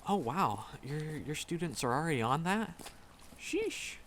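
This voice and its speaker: A man's voice: a little high, big pitch swings, a bit bright, slight background noise. A man playing a shy, flustered magic professor in a roleplay audio drama, stammering and nervous as he talks to a secret crush. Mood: shy, friendly. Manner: nervous, halting, stammering, rambling. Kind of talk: roleplay audio drama, intimate one-sided dialogue.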